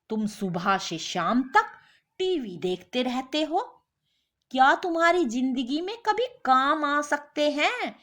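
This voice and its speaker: A woman voicing an exasperated working wife in an animated moral story, firm and sarcastic, scolding with questions. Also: high voice, pitched above the performer's own voice, heavily acted.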